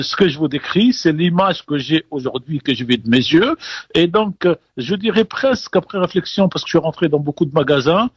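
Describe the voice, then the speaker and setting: A man's voice: on the high side, slightly rough, no room sound, lo-fi sound. A man with a Kabyle Algerian accent, reporting live as a radio correspondent from abroad in a rambling, repetitive way. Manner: digressive, repeats words, coughs.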